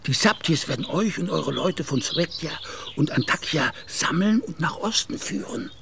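An actor playing an anxious, middle-aged Turkish gendarme officer who pleads and often whispers, in a radio play. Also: male voice, fairly high pitch, animated, moderate pace. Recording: radio play with clear background noise.

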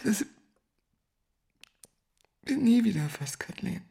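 A despairing woman murmurs her grief in an intimate radio drama. Her voice is extremely breathy and frayed with creak, often sinking into halting, repeated whispers. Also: medium pitch, lively intonation, mellow, heavily acted. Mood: despairing, grieving. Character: despairing, grieving woman pleading in hollow anguish.

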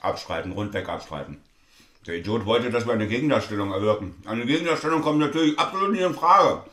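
A man's voice: medium pitch, animated, fast talker, fairly bright tone, echoey room, natural voice. An actor playing a brusque, cynical lawyer and father in a naturalistic stage drama, clipped and dismissive. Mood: brusque, businesslike. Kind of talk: naturalistic stage-play dialogue acting.

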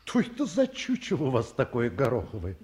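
A man acting in a radio play as an eminent elderly academician, genteel and brisk, with confident, wry self-mockery. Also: medium pitch, huge pitch swings, darkish tone. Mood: brusque. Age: older.

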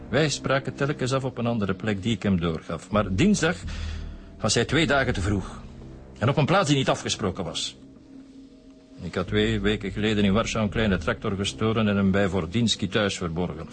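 A man's voice: lowish voice, close to an everyday voice. A man with a Flemish accent playing an aging career thief and smuggler in a radio drama, confessing in a colloquial, self-justifying way. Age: older.

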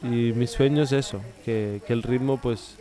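A man with a dark, relaxed voice at medium pitch gives his opinion casually. He reflects as he goes and often checks for agreement. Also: moderate pace. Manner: seeks agreement with tag questions.

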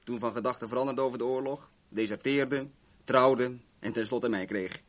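A man's voice: mid-range voice, normal speed, lightly acted. Cocky, chatty male actor as garage owner. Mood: breezy, chatty. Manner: chatty, rambling, self-assured. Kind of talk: Dutch 1970s radio play dialogue.

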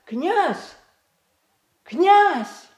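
Sly, sing-song old-style Russian matchmaker. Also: female voice, extremely high pitch, very animated, very slow, darkish tone, full character voice. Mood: merry, teasing. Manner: folksy, sing-song, exclaiming. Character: sly, merry, greedy matchmaker woman chaperoning lovers. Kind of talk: theatrical radio play acting.

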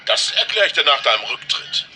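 An actor playing a cocky, crude, sly butcher and blackmailer in a radio play, taunting and colloquial. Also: male voice, fairly high pitch, very animated, a little fast, music in the background. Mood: smug, taunting.

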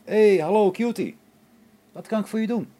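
A man playing a skeptical, wary engineer on a space station in a science fiction radio play, speaking in an informal, expressive way. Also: high voice, moderate pace, a little hiss or hum, close to an everyday voice. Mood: puzzled.

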